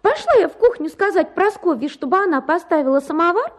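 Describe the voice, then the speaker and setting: An actress playing a capricious, chattering young wife in a radio play, with a theatrical, gushing and complaining manner. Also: female voice, very high-pitched, lively intonation, fast talker.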